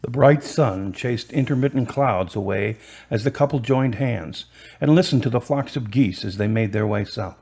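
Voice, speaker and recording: A man's voice: mid-range voice, normal speed, creaky, darkish tone, a little hiss or hum. A man giving scripted narration as the narrator and announcer of a radio drama. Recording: music behind the narration. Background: music.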